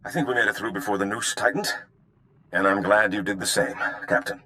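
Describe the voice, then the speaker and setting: An actor playing a sly, easygoing underworld operative giving briefings in a video game. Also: male voice, on the low side, brisk, bright, forceful tone, faint music behind. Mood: sly, encouraging.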